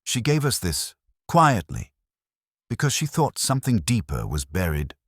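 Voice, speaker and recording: A man voicing a wry, brisk, plain-spoken detective's assistant in an audiobook. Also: fairly low pitch, huge pitch swings, slightly rough, no room sound, clean studio sound, pitched above the performer's own voice, full character voice. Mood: earnest. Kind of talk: audiobook character dialogue.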